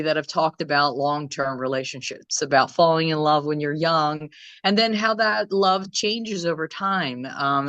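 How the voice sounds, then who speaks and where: A woman musician from Croatia, answering interview questions in a casual chat, thoughtful and rambling with many pauses and restarts. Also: low-pitched, fairly bright tone, dry recording, muffled recording. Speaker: musician guest. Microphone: video call.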